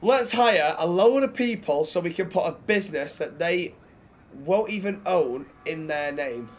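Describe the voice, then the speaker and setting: A man's voice: fairly high pitch, animated, a little slow. A man streaming improvised role-play in an online game, talking bluntly with plenty of swearing and exasperation.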